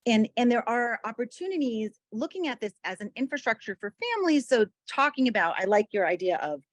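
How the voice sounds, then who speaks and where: A woman's voice: fairly high pitch, big pitch swings, brisk, dry recording. A woman co-hosting a podcast interview, building her questions conversationally with "right?" checks. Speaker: podcast co-host.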